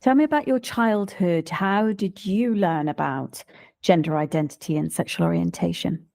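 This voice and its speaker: A woman's voice: fairly low pitch, normal speed, vocal fry, mellow. A woman asking probing questions about childhood in a podcast discussion. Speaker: interviewer.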